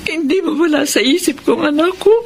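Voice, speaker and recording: An actress playing an anxious, devoted mother in a Filipino radio drama, halting, emotional and pleading. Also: high voice, noisy background. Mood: worried.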